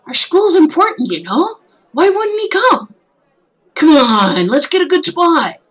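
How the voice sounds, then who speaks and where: A woman voicing an excited young student in a visual novel reading, with an eager manner. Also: very high voice, unhurried, voice pushed up, exaggerated voice. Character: excited young students gossiping about a visiting mayor.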